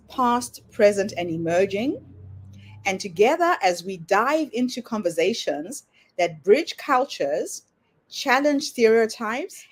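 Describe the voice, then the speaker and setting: Lively woman hosting a podcast. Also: mid-range voice, breathy edge. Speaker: podcast host. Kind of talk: scripted podcast intro and interview hosting.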